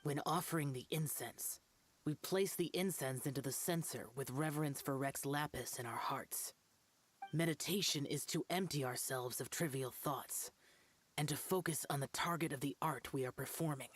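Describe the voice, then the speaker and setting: An actress playing a stern, formal master of an ancient ritual art, instructing in measured tones in a video game. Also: female voice, low-pitched, husky.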